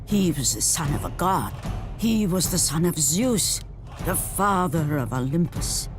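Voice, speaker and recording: A grave teller of ancient myths, narrating a game cutscene very slowly and solemnly. The delivery is portentous, with weighty pauses, over clearly audible music. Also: male voice, a little high, expressive. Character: grave storyteller of ancient myth. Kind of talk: dramatic scripted narration for a video game. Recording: music behind the voice.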